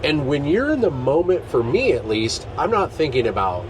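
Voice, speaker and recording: A man's voice: on the high side, big pitch swings, normal speed, background noise. A man hosting a podcast, asking friendly, rambling questions full of "like"s. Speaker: podcast host. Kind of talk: casual interview chat. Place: outdoors at a trade show.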